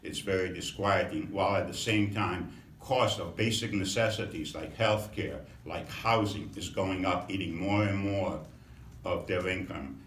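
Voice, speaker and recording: A middle-aged man from Romania, a congressional candidate, giving a measured campaign introduction with frequent pauses and fillers. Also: sits low, fairly bright tone, slight background noise. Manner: measured, halting, many fillers. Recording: echoey room, mic at a distance.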